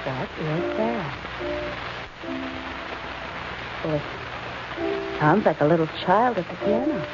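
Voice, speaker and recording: A woman's voice: lowish voice, expressive, a little slow. An actress playing a nervous, loyal female sidekick to a detective in a 1940s radio mystery. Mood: nervous, wry. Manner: nervous, hesitant, wry. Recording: muffled old radio recording, loud music behind.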